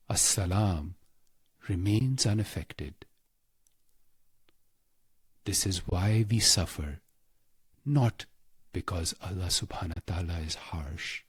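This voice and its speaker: A man with a husky, breathy voice guides a scripted meditation in short, deliberate phrases, solemn and devotional. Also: low voice, no echo. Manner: slow, deliberate, pausing between short phrases.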